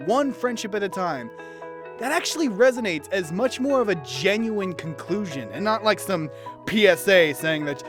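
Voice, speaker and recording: A man giving an enthusiastic, animated scripted review as a cartoon episode commentator, with instrumental music behind him. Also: high-pitched, moderate pace. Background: instrumental background music.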